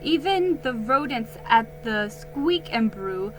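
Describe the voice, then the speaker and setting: A woman giving an expressive, theatrical reading of a children's story. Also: on the high side, slow pace, soft background music, poor audio. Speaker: storybook reader.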